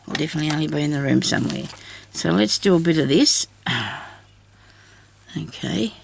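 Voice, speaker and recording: An older woman with a deep, raspy voice, chatting casually and laughing at herself while she does craft work. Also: expressive, normal speed, a little hiss or hum. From Australia. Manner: chatty, self-deprecating, laughs often. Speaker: craft vlogger.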